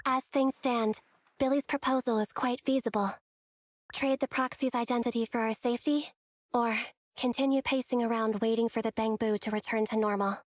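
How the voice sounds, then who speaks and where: A woman's voice: on the high side, fairly even intonation, moderate pace, no echo, lightly acted. A woman playing a terse, matter-of-fact young comrade in a game cutscene, speaking briefly and to the point.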